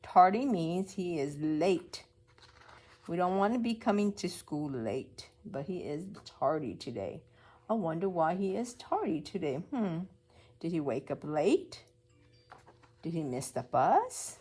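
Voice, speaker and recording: Woman, preschool teacher, slightly low pitch, story read-aloud, instructive, simple questions for young listeners. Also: very animated, unhurried, a little mellow.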